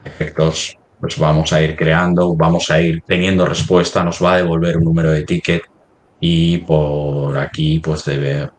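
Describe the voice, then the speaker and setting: Very deep-voiced man giving a demo. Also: little pitch movement. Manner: halting, thinking aloud while working on screen. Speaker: software demo presenter. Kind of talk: live webinar product demonstration.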